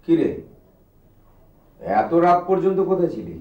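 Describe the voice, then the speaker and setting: An actor playing a proud, scolding uncle in a family television drama. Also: male voice, high voice, very animated, normal speed, lo-fi sound. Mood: stern, scolding. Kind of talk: TV drama acting. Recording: echoey room, music behind.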